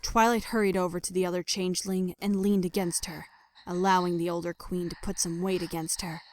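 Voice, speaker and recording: A woman's voice: fairly low pitch, flat delivery, fairly quick. A woman reading scripted story narration in a dramatic audio fiction style. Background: music.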